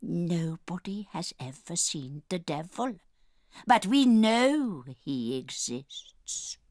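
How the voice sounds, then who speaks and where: A woman's voice: fairly low pitch, big pitch swings, slow, a little mellow. An actress playing a protective old grandmother, a solemn and dramatic storyteller in a dramatized audiobook. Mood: grave, relishing.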